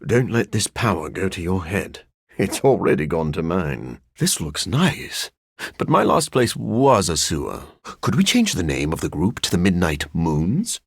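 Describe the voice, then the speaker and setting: Morbius, gravelly brooding vampire scientist. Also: male voice, deep voice, big pitch swings, measured pace, no echo, exaggerated voice. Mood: dry, sardonic. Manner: dry, erudite, theatrical, sardonic. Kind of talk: video game character voice lines.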